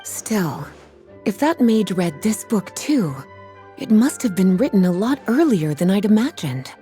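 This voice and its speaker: A woman voicing a calm, knowing librarian witch in a video game, who speaks in a languid, gently teasing way. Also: medium pitch, unhurried, faint music behind. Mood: explaining.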